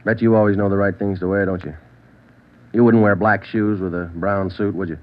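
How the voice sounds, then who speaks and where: Man playing a no-nonsense radio detective, deadpan, talks real quick, thin old-time broadcast sound. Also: on the low side, close to an everyday voice. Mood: dry probing. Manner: clipped, deadpan, matter-of-fact. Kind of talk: 1950s radio crime drama acting and narration. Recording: tinny old radio recording.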